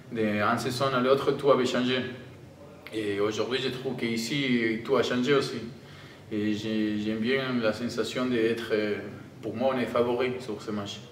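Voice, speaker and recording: A man with an Argentine Spanish accent, speaking halting non-native French as a rugby player answering press questions. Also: fairly low pitch. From Argentina (Tucumán, in the north). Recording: roomy, distant microphone, audible background noise.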